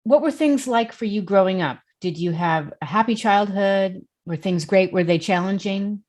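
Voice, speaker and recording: A woman's voice: mid-range voice, normal speed, darkish tone. A woman hosting an interview, asking questions and encouraging her guest with short replies. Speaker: interviewer, host. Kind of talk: interview conversation.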